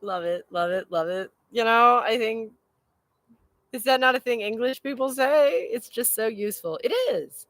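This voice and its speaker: Animated, high-voiced woman chatting casually. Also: normal speed, no room sound. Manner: animated, exclaiming, swears casually. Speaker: host of a live chat stream. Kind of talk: casual rambling chat.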